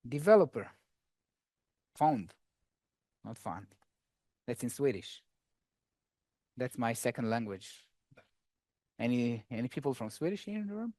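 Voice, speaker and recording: Guy on stage at a dev conference doing a live demo, kinda high voice, super dry close sound, chatty and jokey with the crowd. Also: no echo. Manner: casual, chatty, jokes with the audience. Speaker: conference speaker. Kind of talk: technical talk with live coding demo. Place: conference room.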